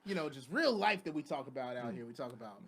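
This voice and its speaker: A man's voice: mid-range voice, very animated, quick pace, fairly bright tone, a little hiss or hum. A young adult man hosting a comedy podcast, laid-back, profane and joking in casual chat. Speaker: podcast host.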